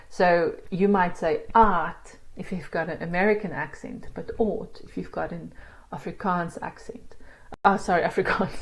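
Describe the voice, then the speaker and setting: A woman with a South African accent, teaching pronunciation in a casual spoken lesson and sounding out words slowly. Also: lowish voice, poor audio. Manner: demonstrates sounds slowly, laughs at herself. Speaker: language teacher.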